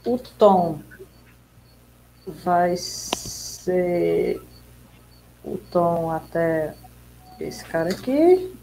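Woman coding on a call, talks slowly and hesitantly as she thinks, faint hiss behind her. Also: on the low side, lively intonation, warm tone, poor audio. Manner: thinks aloud while typing code, hesitant. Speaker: coding dojo participant. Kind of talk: casual pair-programming chat on a video call. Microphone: video call.

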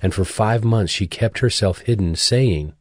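Deep-voiced male scripture narrator. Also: dry recording, studio quality. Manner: measured, solemn storytelling.

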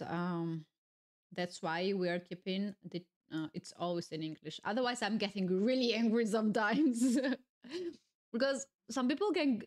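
A young woman with a Georgian accent, chatting casually to her stream viewers while playing chess, musing aloud with many fillers and restarts. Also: medium pitch. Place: small home streaming room, indoors.

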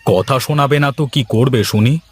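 A man's voice: medium pitch, moderate pace, slightly rough, natural voice. A man voicing a blustering, bossy shrimp-farm owner in a cartoon, with a domineering manner. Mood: angry. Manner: scolding, domineering. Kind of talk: cartoon dialogue acting.

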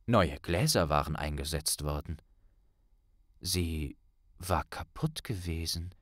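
A man's voice: rumbling low, lively intonation, slow pace, fairly bright tone, dry recording, clean studio sound. A man reading fiction as a dramatic, scripted audiobook narration.

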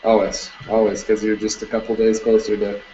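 A man in his thirties explains his business in a relaxed interview, with plenty of ums and uhs. His voice sits low. The sound is dull and lo-fi, like a webcam call, with a faint hiss behind it. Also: fairly quick. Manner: relaxed, many fillers, salesman-like. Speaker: security camera business owner. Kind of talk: casual video call interview. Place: indoor room with curtains. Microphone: webcam on video call. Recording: dull lo-fi video call audio, faint noise.

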